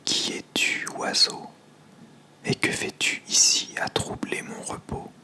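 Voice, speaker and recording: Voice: takes it slowly, sharp tone. A storyteller voicing a grumpy, lonely and powerful male dragon god in a stern whisper for an ASMR tale. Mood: grumpy, annoyed.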